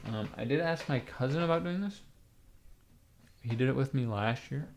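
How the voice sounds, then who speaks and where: A raspy-voiced man livestreaming from his computer, rambling casually to his chat, swearing and talking to himself as he types. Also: medium pitch, expressive, moderate pace, slight background noise. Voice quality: raspy, gravelly. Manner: rambling, swearing, talks to chat while typing.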